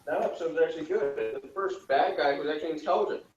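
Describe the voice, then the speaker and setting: A man's voice: a little high, fast, darkish tone. A man giving blunt, dry opinions in a casual group discussion as a review panelist. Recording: distant, roomy, dull recording with faint hiss.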